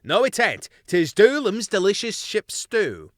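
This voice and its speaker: A man doing squeaky bickering mouse cooks. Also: huge pitch swings, measured pace, no room sound, clean studio sound, heavily acted. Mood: arguing. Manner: squeaky, rowdy, working-class banter. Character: bickering young mouse sailor cooks, cheeky.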